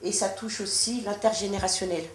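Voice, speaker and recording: Woman, French-born, politician in interview, formal, halting restarts, roomy echoey sound. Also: mid-range voice, steady tone. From France. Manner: measured, formal, with frequent restarts. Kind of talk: interview answers. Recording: echoey room with faint noise.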